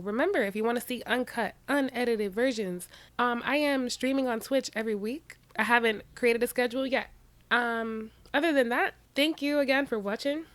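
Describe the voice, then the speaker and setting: A woman hosting a reaction channel, giving sassy, animated commentary straight to her viewers. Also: fairly high pitch, no room sound.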